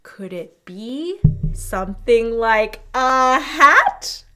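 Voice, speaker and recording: A young woman hosting a children's storytime, speaking with animated, exaggerated enthusiasm and deliberate enunciation as she teaches. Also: on the high side, faint music behind. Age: young adult. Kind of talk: children's educational presentation.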